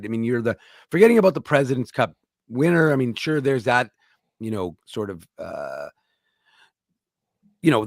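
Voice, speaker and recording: A man's voice: medium pitch, dry recording, clean studio sound. A man co-hosting a hockey talk show, giving emphatic, opinionated takes in casual chat.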